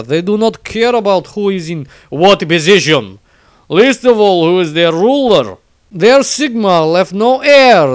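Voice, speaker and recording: Guy doing a suspicious warrior guy reading his diary, blunt, high smooth voice, super dry audio. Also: no room sound. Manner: blunt, clipped grammar. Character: proud, blunt, suspicious northern male warrior from fantasy realm.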